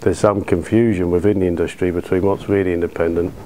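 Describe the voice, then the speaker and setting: A British man in his thirties talking informally in an interview, in a low-key, colloquial way. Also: little pitch movement, fast, noisy background. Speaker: cinema owner being interviewed. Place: small dark cinema auditorium, indoors. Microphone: lapel.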